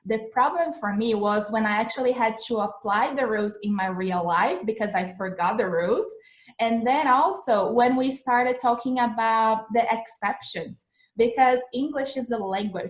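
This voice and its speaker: Chatty woman teaching English. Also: fairly high pitch. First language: Portuguese. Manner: chatty, animated, gestures a lot while explaining. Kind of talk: live-streamed English lesson. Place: small indoor room, webcam in front of a plain wall. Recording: echoey room sound.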